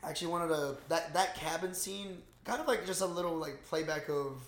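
A man with a fairly high voice speaks in a very echoey room. He is a podcast host in loose, casual recap mode, full of slang and swearing, as if talking to a close buddy. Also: a little fast. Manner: profane, slangy bro talk. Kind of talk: casual movie recap chat.

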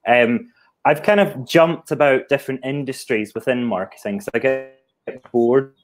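Scottish man guest, casual and chatty, quick to laugh, on a video call. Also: mid-range voice. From Scotland. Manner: chatty, self-deprecating, laughs easily. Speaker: guest, head of marketing.

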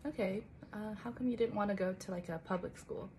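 A woman's voice: mid-range voice, a little fast, darkish tone, a little hiss or hum. Young woman asking curious interview questions. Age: young adult. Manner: curious, asks friendly follow-up questions. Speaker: interviewer, college student. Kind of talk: casual interview chat.

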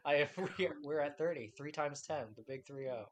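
A man's voice: on the high side, normal speed. A man hosting a podcast in a casual chat, rambling and playful with lots of restarts. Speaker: podcast host.

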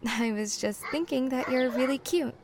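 An actress playing a young, affectionate mermaid passing as human in an audio drama, with a soft, coy way of talking. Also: female voice, high-pitched, moderate pace, crowd noise. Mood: playful, evasive. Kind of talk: naturalistic audio drama dialogue. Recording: clear background noise.

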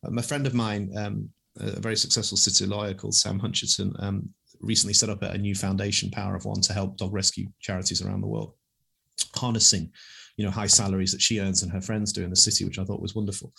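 Man, 50s, campaigner, rapid delivery, low pitch, husky, loud and carrying. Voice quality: loud voice that carries. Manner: fast, animated, speaks off the cuff. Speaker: animal advocacy campaigner, guest. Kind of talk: conversational interview answers.

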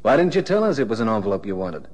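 An actor playing a glib, wisecracking private investigator in a 1960s radio drama. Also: male voice, fairly low pitch, sing-song, racing, a little mellow. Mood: confident. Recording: noisy old radio drama recording.

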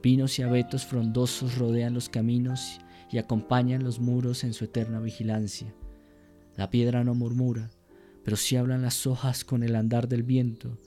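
A man narrating a literary radio drama in a measured, solemn, descriptive manner. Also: mid-range voice, dark tone.

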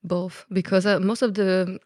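A woman's voice: mid-range voice, level tone, normal speed, a little mellow, dry recording. Female podcast guest, native French speaker with a Belgian French accent, mid-range voice, candid casual explaining, dry recording. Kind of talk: casual conversational interview.

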